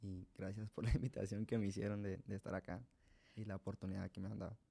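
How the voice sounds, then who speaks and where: Young guy w/ guatemalan accent, low flat gravelly voice, kinda hesitant, keeps restarting. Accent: Guatemalan Spanish. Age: young adult. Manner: halting, with many restarts and small laughs. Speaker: guest. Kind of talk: hesitant personal testimony. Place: radio studio.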